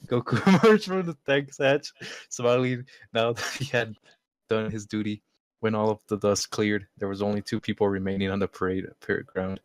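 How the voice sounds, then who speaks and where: A deep-voiced man reads a story in a casual, amateur style. He is amused and trips over words now and then, recorded dry with little room around him. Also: normal speed, dry recording. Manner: informal, amused, stumbling. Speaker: amateur reader. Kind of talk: casual read-aloud of a story.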